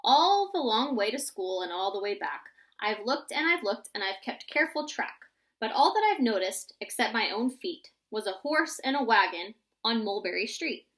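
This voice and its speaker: A woman reads as an imaginative young boy from a rhyming storybook. The voice is bright and fairly high, excitable, thinking aloud as each tall tale grows bigger. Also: normal speed. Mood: musing, excited.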